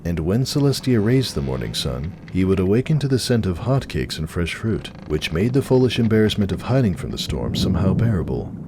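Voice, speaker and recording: Deep, expressive male story narrator. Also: a little fast, hardly any pauses. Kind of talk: dramatic scripted story narration. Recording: clearly noisy, storm ambience behind.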